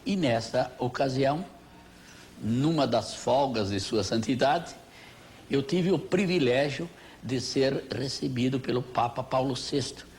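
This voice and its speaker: An older Brazilian man recalls his reporting career in formal, grandiloquent phrases. He speaks slowly with a mellow tone. A light layer of tape noise comes with the old TV recording. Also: medium pitch. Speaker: veteran television reporter. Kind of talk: formal reminiscence to camera.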